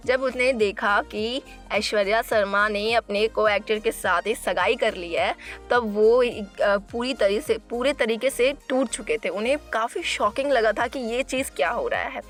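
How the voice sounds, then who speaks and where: A woman presenting entertainment gossip in an informal narration, halting and often repeating or correcting herself. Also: fairly high pitch, fairly bright tone, faint music behind. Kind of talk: informal celebrity news narration.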